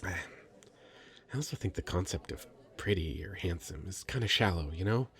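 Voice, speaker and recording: Man acting shy stammering nerd, low gravelly voice. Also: expressive, normal speed, a bit bright, full character voice. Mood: awkward, sweet. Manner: stammering, hesitant, rambling, self-conscious. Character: shy, nervous, sweet nerdy young male stranger. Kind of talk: scripted ASMR roleplay, intimate conversational acting.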